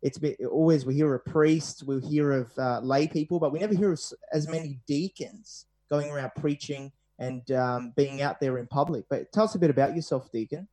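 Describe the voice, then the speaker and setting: A man's voice: a little high, brisk, hardly any pauses, warm tone. A man hosting a radio interview program. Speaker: radio show host.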